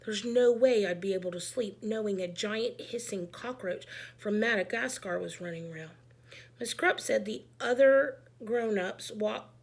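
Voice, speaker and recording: A woman reading a children's book aloud, narrating expressively in an animated, emphatic way. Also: on the high side, gravelly. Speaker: read-aloud storyteller.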